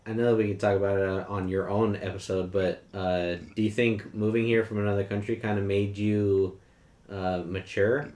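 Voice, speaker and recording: A man in his twenties hosting a podcast, laid-back and quick with short slang reactions. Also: on the low side, level tone, darkish tone. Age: 20s. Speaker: podcast host. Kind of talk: casual podcast interview. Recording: echoey room.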